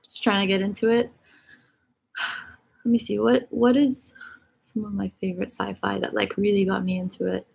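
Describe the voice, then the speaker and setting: Woman host, Egyptian Arabic first language, loose chatty live-stream delivery, gravelly and a bit husky. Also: medium pitch, flat delivery, normal speed, pauses often, a little mellow. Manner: chatty, filler-heavy, reads questions aloud. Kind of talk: casual live-stream chat reading viewer comments.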